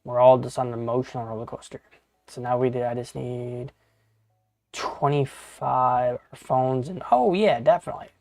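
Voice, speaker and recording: A man's voice: medium pitch, normal speed, vocal fry, darkish tone, no room sound. A man in his thirties chatting casually with viewers while playing a game on a live stream, rambling and swearing, often calling people bro and dude. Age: 30s.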